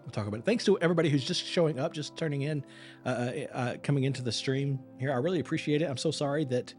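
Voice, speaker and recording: Male acting coach hosting a live stream: tired, voice fraying, rambling earnest chat at an average pitch. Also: fast, soft background music. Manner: rambling, earnest, repeats words as he restarts. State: tired, voice wearing thin.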